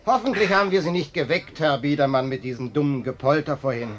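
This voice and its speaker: An actor playing a cheeky, mock-courteous ex-circus arsonist in a German radio play, with a breezy manner. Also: male voice, fairly high pitch, fast talker, muffled recording, close to an everyday voice. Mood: mock-polite.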